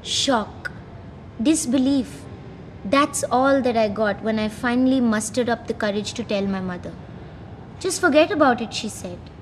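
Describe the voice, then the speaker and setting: Woman acting a high-voiced, haunted daughter. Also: measured pace, a little hiss or hum, natural voice, low-quality recording. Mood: haunted, bitter. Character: wounded grown daughter recalling her childhood. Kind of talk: dramatic monologue in a short film.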